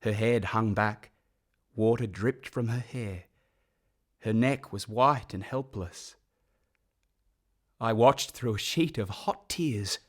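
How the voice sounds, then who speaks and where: An actor playing a sensitive young orphan who narrates a gothic radio mystery in a hushed, intense, literary way, with a breathy voice. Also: male voice, fairly low pitch, slow pace, long pauses. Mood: haunted, grieving. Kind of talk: dramatic first-person radio play narration.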